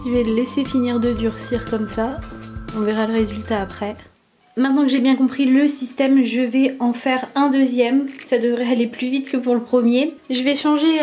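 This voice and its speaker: A young woman with a somewhat high voice narrates a toy demonstration step by step. She speaks casually and unhurriedly, with small fillers as she thinks. Clear music plays underneath the voice-over. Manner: step-by-step explaining, relaxed with fillers. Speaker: toy review YouTuber.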